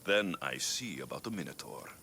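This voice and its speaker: An actor playing a steady mercenary hero who speaks calmly and bluntly in a game cutscene. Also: male voice, extremely low pitch, moderate pace, vocal fry, slight background noise, exaggerated voice. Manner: calm, blunt. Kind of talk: video game cutscene acting.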